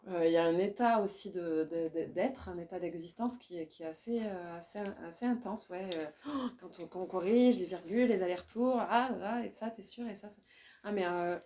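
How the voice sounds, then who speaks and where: A woman poet, talking in a relaxed discussion, often hesitating and restarting as she searches for words. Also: fairly low pitch, a little fast, slight background noise. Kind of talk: casual discussion.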